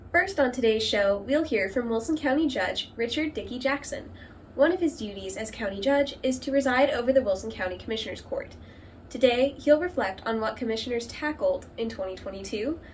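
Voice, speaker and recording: A woman presents and interviews on a local news programme. Her voice is a little high. She sits away from the mic, with faint noise behind her. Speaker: news show host and interviewer. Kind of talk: scripted show introduction and interview questions. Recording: distant mic, noticeable background noise.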